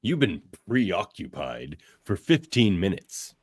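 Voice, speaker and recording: A man playing a gruff, blunt, defiant tough-guy hero in a radio drama. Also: deep voice, big pitch swings, slow, no room sound, studio quality, full character voice. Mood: grudging.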